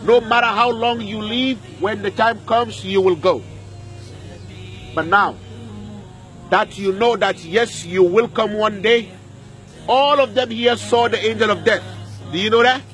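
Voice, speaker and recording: A man's voice: sits high, measured pace, pauses often, a bit bright, soft background music. A man preaching a graveside sermon outdoors, repeating his points emphatically and putting questions to his listeners. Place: outdoors at a cemetery.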